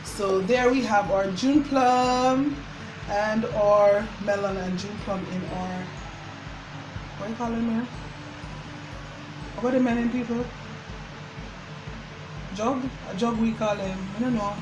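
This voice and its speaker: A woman's voice: on the high side, steady tone, slow pace, pauses often, noisy background. A woman with a Jamaican accent chatting informally to viewers as a vlog host, slipping into Patois as she demonstrates homemade drinks. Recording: music over the talk. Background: music.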